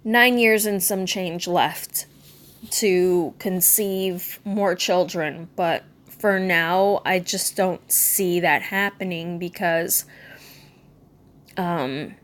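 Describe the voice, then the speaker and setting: Slow, halting woman in her thirties. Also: lowish voice. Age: 30s. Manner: slow, halting, subdued and candid, many ums and restarts. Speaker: vlogger. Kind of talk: personal vlog talking to camera.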